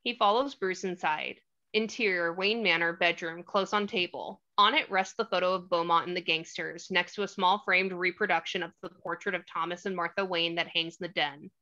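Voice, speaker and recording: A woman's voice: on the low side, steady tone, normal speed, bright, forceful tone. A woman reading stage directions as the narrator of a screenplay table read. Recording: slightly dull lo-fi audio.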